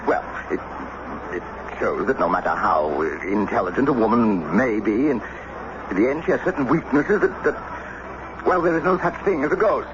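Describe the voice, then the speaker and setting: An actor playing a stuffy, stubborn American diplomat and husband, pompous and blustering, with an American accent in an old radio drama. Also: male voice, medium pitch, animated, normal speed, natural voice. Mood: dismissive. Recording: muffled, noisy old radio broadcast.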